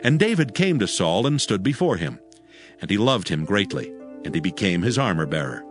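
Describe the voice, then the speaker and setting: A male narrator reads scripture dramatically in a fairly low voice. His intonation is lively and varied, with music behind him. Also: normal speed.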